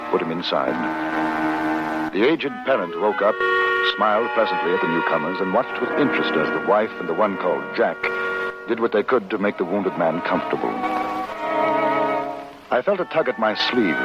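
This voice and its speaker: An actor playing a calm, educated traveler in a radio western, speaking in a measured, literate manner. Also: male voice, deep voice, loud music behind the voice. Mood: wry, composed. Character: calm, educated traveler narrating his frontier journey. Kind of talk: old-time radio drama narration and acting. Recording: muffled old radio recording.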